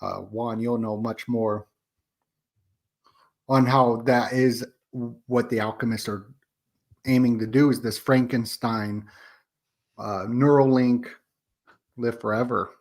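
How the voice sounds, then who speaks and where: A man's voice: mid-range voice, fairly even intonation, slow pace, pauses often. A man on a podcast panel talking casually, in a loose, associative, conversational way. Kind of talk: casual discussion.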